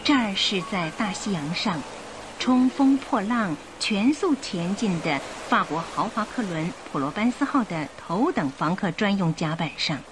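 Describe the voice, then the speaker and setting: A woman narrating a radio drama in an expressive, suspenseful storytelling style. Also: medium pitch, measured pace, background noise. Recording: music bed behind the voice. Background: music.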